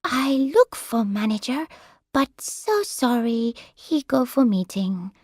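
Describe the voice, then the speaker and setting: A woman reading the part of a young carer who speaks gentle, apologetic broken English, pitched higher than her narration. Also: lively intonation, slow, dry recording, clean studio sound. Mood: polite.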